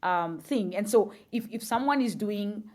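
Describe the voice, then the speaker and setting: A woman's voice: mid-range voice, expressive, unhurried, a little mellow. A woman, a guest on a podcast interview, explaining thoughtfully with examples and frequent fillers. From Kenya.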